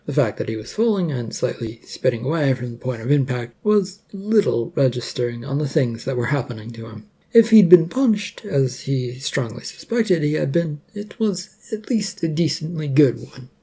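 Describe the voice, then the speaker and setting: A man's voice: medium pitch, big pitch swings, moderate pace, hardly any pauses, warm tone, breathy edge. A man reading scripted fiction as a story narrator.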